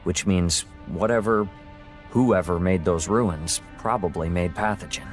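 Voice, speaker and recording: An actor playing a curious, rambling scientist in a video game, explaining things in a hesitant, musing way. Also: male voice, sits low, a little slow, soft background music. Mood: explanatory. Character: male scientist doctor, curious, rambling, awed by alien technology. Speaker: scientist briefing a military team.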